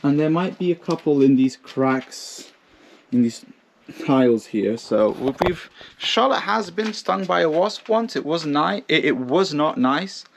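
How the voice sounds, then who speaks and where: A man's voice: a little high, lively intonation, measured pace, creaky, a little hiss or hum. Chatty self-mocking man outdoors. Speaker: vlogger. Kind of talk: casual vlog narration. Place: outdoors on a rural plot.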